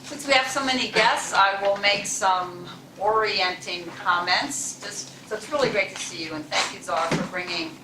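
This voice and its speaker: A woman's voice: medium pitch, moderate pace, few pauses, distant mic, heavy background noise. A woman, the club president, giving chatty, encouraging welcome remarks to guests in a chilly meeting room. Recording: echoey room, mic from afar.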